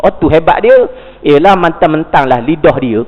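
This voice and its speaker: A man with a Malaysian Malay accent delivers a mosque lecture in a high voice. He mixes formal reading with chatty asides and rhetorical questions. The dull recording carries the long reverb of a mosque hall. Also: expressive, normal speed. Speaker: religious teacher.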